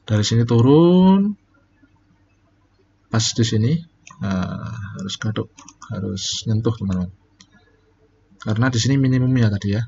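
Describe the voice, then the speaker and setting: A man's voice: medium pitch, animated, a little slow, vocal fry, long pauses, mellow, slightly rough, lo-fi sound. A man teaching calculus in a casual, step-by-step way, often correcting himself. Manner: casual, explains step by step, many fillers and self-corrections. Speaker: calculus lecturer. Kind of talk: recorded calculus lecture.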